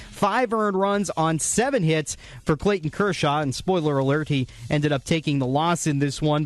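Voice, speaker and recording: High-pitched American man recapping baseball highlights. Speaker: radio sports recap host. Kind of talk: sports highlights recap narration.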